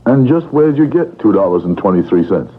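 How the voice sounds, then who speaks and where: An actor playing an irascible, grumbling old man with a grudging soft heart, in old-time radio drama style. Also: fairly low pitch, very animated, a little fast. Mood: exasperated. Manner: grumbling, curt, barking. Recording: muffled, noisy 1970s radio recording.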